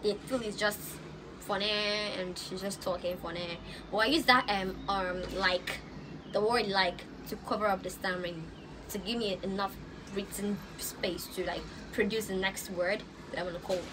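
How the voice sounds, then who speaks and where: A woman's voice: mid-range voice, fairly even intonation, normal speed. A young woman with a Nigerian accent, chatting casually to camera in a get-to-know-me vlog, stammering and laughing as she talks outdoors. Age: young adult. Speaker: vlogger. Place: outdoors on a balcony above rooftops. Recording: outdoor background noise. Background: street ambience.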